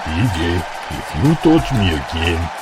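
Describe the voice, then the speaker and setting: An actor playing a gruff, dry male fighter in an audio drama, deliberate and needling. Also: sits low, sing-song, over loud music, a loud crowd, rough recording. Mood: restrained.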